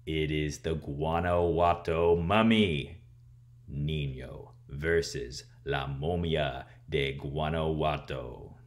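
A man in his thirties reading a picture book aloud and explaining things to children in a theatrical, expressive way. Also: rumbling low, takes it slowly. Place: small room at home, indoors.

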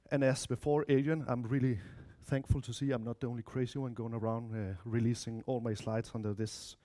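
A man in his thirties with a Danish accent presents an informal technical talk. His voice is dark and relaxed, at an ordinary male pitch. He speaks chattily, often turning to the audience with asides. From Denmark or Spain, of mixed Spanish-Danish family. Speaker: conference speaker, software developer. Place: lecture room, indoors.

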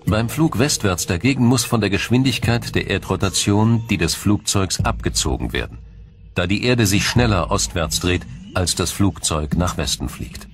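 A man reading scripted documentary narration in a measured, explanatory manner. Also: deep voice, animated, slight background noise.

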